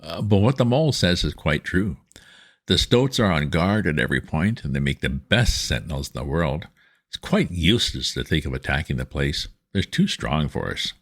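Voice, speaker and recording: A man voicing a stern, respected older male badger in a storybook reading, severe and measured. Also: rumbling low, very animated, heavily acted. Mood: reassuring. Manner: severe, measured, then gruffly kind.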